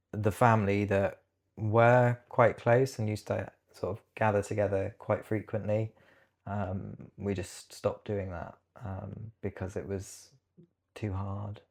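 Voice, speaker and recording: A man's voice: on the low side, creaky, darkish tone. A British man in his thirties speaking as an interview guest, recounting personal experiences in a reflective, hesitant way.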